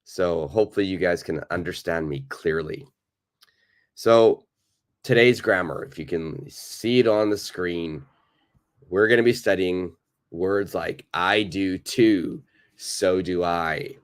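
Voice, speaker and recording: A man with a cold and a raspy voice, teaching a live online English lesson, greeting viewers and repeating points slowly. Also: low voice.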